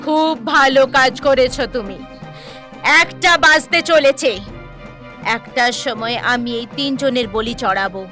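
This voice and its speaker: A woman playing a cruel, scheming mother in an animated horror story, speaking in a menacing, theatrical way. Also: high-pitched, takes it slowly, soft background music, full character voice. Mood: triumphant, obsessed. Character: cruel scheming mother plotting human sacrifice to cure son.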